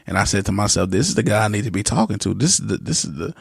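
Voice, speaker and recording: Young guy on a podcast, really gravelly and kinda husky, laid back, lots of uhs. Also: fairly low pitch, animated, very fast, dry recording, clean studio sound. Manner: easygoing, many fillers and restarts. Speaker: podcast guest. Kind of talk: casual interview talk.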